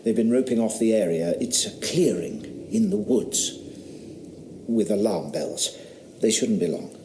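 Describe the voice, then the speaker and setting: An actor playing an earnest eighteenth-century squire with a taste for experiments, speaking in a formal, courteous way. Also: male voice, lowish voice, lively intonation, measured pace, reverberant, noisy background. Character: earnest male country squire, amateur scientist. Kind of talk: radio drama acting.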